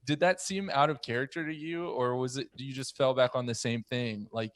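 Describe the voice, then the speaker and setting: A man in his thirties moderating a casual discussion as host, thoughtful and a little halting. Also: mid-range voice, fairly even intonation, no echo. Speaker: podcast host. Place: home room on a group video call. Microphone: close podcast mic on a boom arm.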